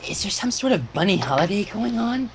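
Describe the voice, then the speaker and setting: A gentle female cartoon pony, put on by a man, soft and questioning, with worry in the delivery. The recording is an amateur one with clear background noise. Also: high-pitched, very animated, brisk, pitched above the performer's own voice, exaggerated voice. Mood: puzzled, concerned.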